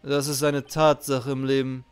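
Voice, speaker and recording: A man voicing a stern, formal young male prosecutor from a video game, speaking in a measured, serious, clipped way. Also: mid-range voice, level tone, soft background music, close to an everyday voice. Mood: brooding, resigned.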